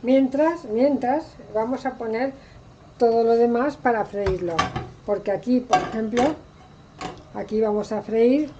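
A woman's voice: on the high side, unhurried, distant mic, muffled recording, noisy background. A woman with an accent from Spain teaching a recipe in a home kitchen, patient and homely, using lots of diminutives. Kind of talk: casual cooking instruction.

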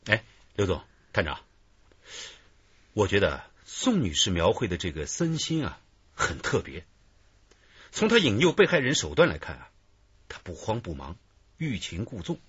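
A man's voice: on the low side, huge pitch swings, moderate pace, long pauses, fairly bright tone, slightly rough, heavily acted. An actor playing a thoughtful, modest male police detective in a radio drama. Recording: dull lo-fi radio drama recording.

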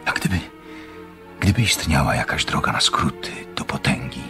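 A man's voice: extremely low pitch, lively intonation, normal speed, sharp tone, soft background music, heavily acted. An actor playing an obsessed, power-hungry doctor in a radio drama, brooding and questioning as he voices his diary.

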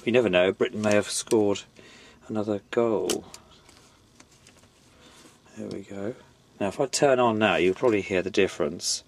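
A hoarse middle-aged man with a head cold chats casually in his garage workshop. His voice sits very low and he rambles, restarting sentences and filling gaps with ums and uhs. He is close to the camera mic. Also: moderate pace, pauses often, a little hiss or hum. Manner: rambling, many fillers and restarts. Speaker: electronics hobbyist vlogger. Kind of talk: casual repair vlog. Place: home garage workshop, indoors.

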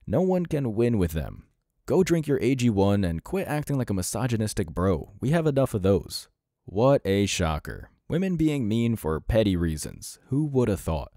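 A man's voice: mid-range voice, warm tone, dry recording, studio quality. A man delivering scripted reaction commentary as a host, in a mocking, sarcastic and blunt manner.

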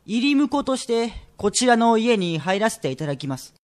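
A man acts as a greying shop clerk in a period radio drama. His voice is high for a man, and he speaks with formal, deferential courtesy. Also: big pitch swings, moderate pace. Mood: deferential, persistent.

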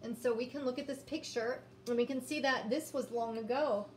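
A woman in her fifties teaching young children, sounding out words and reading aloud in a deliberate, encouraging way. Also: a little high. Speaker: early-grades teacher. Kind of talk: children's phonics lesson and read-aloud. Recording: roomy, distant mic, background noise.

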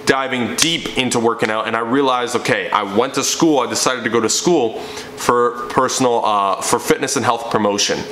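A man's voice: mid-range voice, moderate pace, fairly bright tone, slight background noise. A young man and fitness coach telling his personal story to the camera from a living room, emphatic and preachy. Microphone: camera in front, seated framing. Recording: echoey room with faint ambience.

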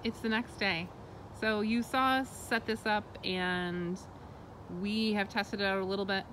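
Woman, 30s, mid-pitched, cheerful casual vlog, outdoors, clear background noise. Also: steady tone, measured pace, a bit bright, low-quality recording. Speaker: co-host. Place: outdoors in woods.

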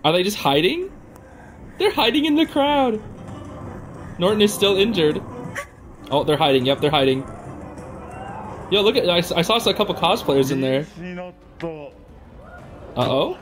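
Animated young reaction host with swooping pitch. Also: measured pace, long pauses, low-quality recording. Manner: gushing, slangy, exclaims and gasps. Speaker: host of a gaming reaction channel. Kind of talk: casual excited gameplay and reaction commentary. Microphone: home recording on a makeshift travel setup. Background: music.

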